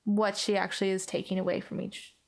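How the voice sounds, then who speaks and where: A woman's voice: mid-range voice, little pitch movement, brisk. A woman playing on a tabletop podcast, talking casually and reflectively. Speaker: player on an actual play podcast. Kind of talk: casual, reflective game chat.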